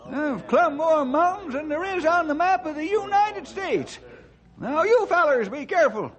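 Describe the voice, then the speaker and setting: A man's voice: very high-pitched, lively intonation, normal speed. A man playing a crusty, boastful old mountaineer with folksy frontier talk in an old-time radio adventure. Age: older. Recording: dull vintage radio drama recording.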